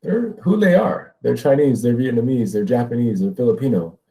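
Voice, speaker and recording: A man's voice: mid-range voice, fairly quick, mellow, rough recording. A man with an American accent lecturing as a teacher, explaining ideas step by step and checking in with listeners. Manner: explanatory, often checks with "right?". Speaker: middle school ethnic studies teacher. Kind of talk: educational lecture with read-aloud passages.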